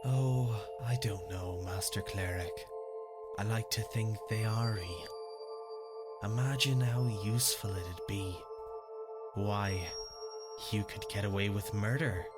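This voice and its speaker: A male actor playing a sinister, fawning castle physician in a dark fantasy audio drama, unctuous and deliberate. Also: fairly low pitch, over loud music. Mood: sly fascination. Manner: unctuous, deliberate, chuckling menace.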